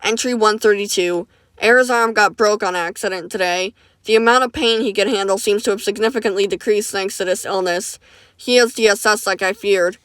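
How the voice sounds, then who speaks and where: A woman voicing a calm, caring male medic who speaks gently and reassuringly. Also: mid-range voice, brisk, clear, resonant tone, no room sound, studio quality, lightly acted. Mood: matter-of-fact.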